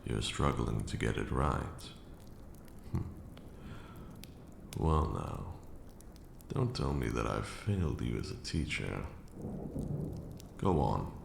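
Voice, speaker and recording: An extremely deep, heavily creaking voice of an ancient vampire lord acted by a man, slow and formal. He is a stern but caring mentor in an intimate ASMR roleplay. The sound is echoey, with clear background noise. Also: very animated, exaggerated voice. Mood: calm, lordly. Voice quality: gravelly, very deep. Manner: formal, archaic, measured phrasing. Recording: roomy echo with audible background noise.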